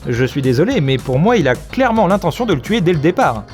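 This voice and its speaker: Man acting sardonic detective, high pitch, lively intonation, cocky, wry, audio comedy, light music bed. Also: quick pace. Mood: accusing. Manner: wry, animated, self-assured.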